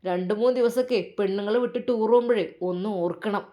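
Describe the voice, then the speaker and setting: Brief: woman doing a sly young husband, low hushed coaxing voice. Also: fast talker, dull audio, natural voice. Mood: aggrieved. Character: scheming young husband, smooth-talking.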